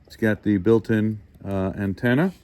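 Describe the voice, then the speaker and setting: Low-pitched man, casual gadget walkthrough. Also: moderate pace, dark tone. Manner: informal, unscripted, thinking aloud. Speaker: host of a hobby radio review channel. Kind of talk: casual hands-on product walkthrough.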